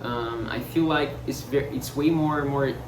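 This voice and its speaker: A man's voice: medium pitch, unhurried. Hesitant man with a Brazilian Portuguese accent. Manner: hesitant, many fillers and restarts, searching for words. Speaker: vlogger. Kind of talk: casual musing monologue. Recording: roomy echo, distant mic, noticeable noise.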